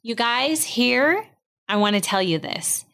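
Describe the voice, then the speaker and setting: A woman's voice: a little high, animated, slow, gravelly, a bit bright. Enthusiastic woman with a Wisconsin accent. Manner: enthusiastic, emphatic. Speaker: podcast host. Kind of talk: casual interview chat.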